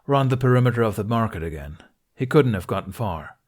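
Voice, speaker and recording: Man acting a gruff, exasperated guard captain. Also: on the low side, lively intonation, brisk, a little mellow. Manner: commanding, muttering.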